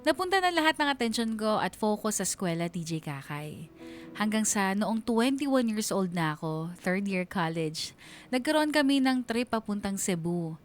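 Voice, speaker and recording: A woman's voice: mid-range voice, very animated, normal speed, faint music behind, close to an everyday voice. A woman playing a young woman who recounts her past in a radio drama, reflective, wistful and confiding. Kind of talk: first-person radio drama narration.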